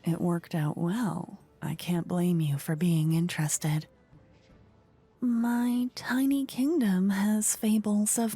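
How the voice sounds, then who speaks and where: A woman playing a playful, regal fantasy queen in a soft-spoken, breathy ASMR roleplay, languid and coy, often slipping into whispers close to the microphone. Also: lowish voice, full character voice. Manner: languid, coy, flirtatious, courtly. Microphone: close ear-to-ear microphone.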